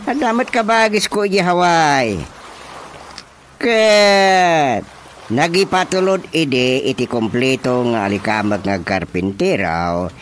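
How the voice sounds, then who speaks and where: A man acting an inquisitive village chairman in a radio drama comedy, with an Ilocano accent and probing, drawn-out questions. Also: on the high side, animated, a little hiss or hum. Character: village chairman, man inspecting carpentry tools with growing suspicion.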